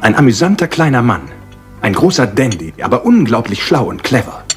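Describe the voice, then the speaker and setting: An actor playing a genial, eager English army lieutenant, chatty and polite, in a radio play. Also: male voice, mid-range voice, big pitch swings, a little fast, faint music behind, close to an everyday voice. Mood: proudly reminiscing.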